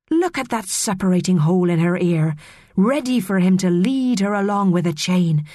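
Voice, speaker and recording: A woman voicing a stern mermaid leader in a storybook, speaking with quiet menace in formal, old-fashioned phrases. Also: fairly low pitch, dry recording, clean studio sound, natural voice.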